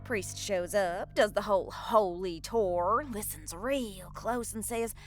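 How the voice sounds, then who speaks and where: A woman playing a bawdy, teasing horror show hostess, purring her innuendos in a breathy voice and dropping in flirty laughs. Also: mid-range voice, slow pace, soft background music, lightly acted. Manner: innuendo-laden, drawling, teasing. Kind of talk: campy late-night horror hosting.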